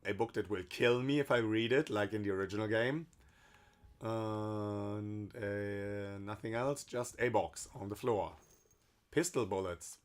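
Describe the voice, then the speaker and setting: A hoarse man casually commenting while playing a game on stream, rambling loosely and swearing now and then. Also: fairly low pitch, unhurried. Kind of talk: casual let's-play commentary.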